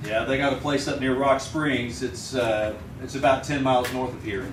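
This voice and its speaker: An actor playing a watchful, plainspoken US marshal with an American accent in an old-time radio western. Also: male voice, mid-range voice, normal speed, a little hiss or hum, lightly acted. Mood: matter-of-fact. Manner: steady, plainspoken, dry. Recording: echoey, distant old radio recording.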